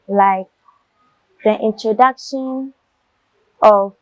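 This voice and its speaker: A young woman student, answering questions in a discussion in an earnest, measured way, pausing to find words. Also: mid-range voice, darkish tone, no room sound.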